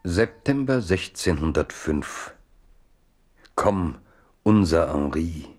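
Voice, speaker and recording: Deep-voiced solemn chronicler. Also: male voice, animated, takes it slowly, a little mellow, heavily acted. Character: solemn chronicler of a king's history. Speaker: narrator. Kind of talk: scripted radio play narration.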